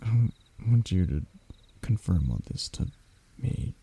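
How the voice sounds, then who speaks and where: A man playing a lovesick young royal heir in an intimate romance roleplay, stammering and hesitant, with a husky, breathy voice. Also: on the low side, lively intonation, a little slow, dark tone, full character voice. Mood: hurt, resigned. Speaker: voice actor in audio roleplay.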